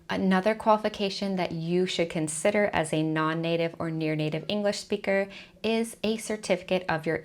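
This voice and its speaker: Woman explaining in a lowish voice. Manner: clear, explanatory, list-like delivery. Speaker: online teaching coach. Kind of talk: scripted advice video to camera.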